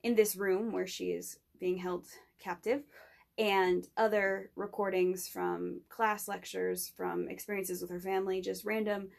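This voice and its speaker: A young adult woman, a student guest, giving an informal pitch in loose, tentative phrases. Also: flat delivery, measured pace, creaky. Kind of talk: informal spoken pitch in an interview.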